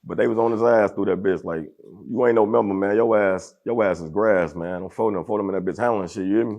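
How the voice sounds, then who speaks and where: A man with a deep, gravelly voice talks fast and aggressively, in heavy street slang. He sits as an interview guest in casual conversation. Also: lo-fi sound.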